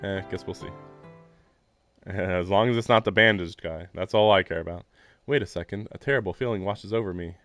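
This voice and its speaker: Low-voiced rambling man streaming a visual novel. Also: normal speed, fairly bright tone. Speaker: livestreamer playing a visual novel. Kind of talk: reading game narration aloud with casual commentary. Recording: game music playing behind. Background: video game music.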